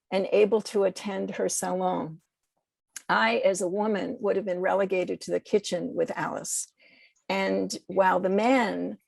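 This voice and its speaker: A woman's voice: medium pitch, creaky, a little mellow, dry recording. A woman poet speaking reflectively and a little hesitantly as a podcast guest, talking about literature and reading.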